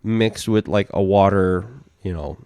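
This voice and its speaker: A man's voice: low-pitched, dark tone, no room sound. A man co-hosting a casual podcast, arguing his side and telling long stories. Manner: argumentative, drawn-out storytelling. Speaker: podcast co-host. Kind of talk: casual banter over drinks.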